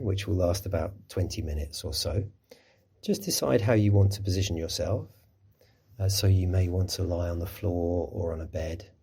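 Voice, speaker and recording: Low, mellow, husky man guiding meditation. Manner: gentle, unhurried, soothing instruction. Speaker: mindfulness teacher. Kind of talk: guided body scan meditation.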